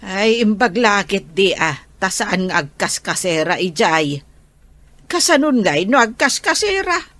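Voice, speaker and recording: Meddling neighbor, slow drawn-out pace, mid-pitched, gossipy, radio drama. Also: female voice, big pitch swings, fairly bright tone, no echo. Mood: gossiping, warning.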